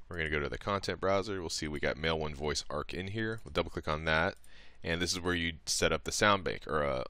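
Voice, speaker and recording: A man narrating a casual step-by-step software tutorial in an informal, somewhat hesitant way, thinking aloud as he goes. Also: extremely low pitch, clean tone. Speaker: tutorial presenter.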